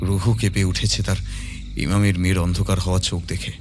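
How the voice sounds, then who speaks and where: A man's voice: sits low, flat delivery, brisk, a little mellow, faint music behind. A man narrating a scripted ghost story in a dramatic audio-story style.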